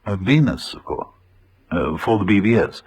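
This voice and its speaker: Plummy, effusive English gentleman chimney sweep, swooping delivery. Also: lowish voice, lively intonation, normal speed, breathy edge. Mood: enthusiastic. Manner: effusive, flowery, old-fashioned gentlemanly politeness. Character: genteel, chatty, eccentric upper-class chimney sweep, a man. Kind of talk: old radio drama acting.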